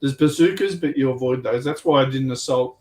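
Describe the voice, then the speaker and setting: A man's voice: mid-range voice, brisk, darkish tone. A man chatting casually as a guest hobbyist, often repeating words as he explains, recorded at some distance from the mic. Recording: distant mic with faint background noise.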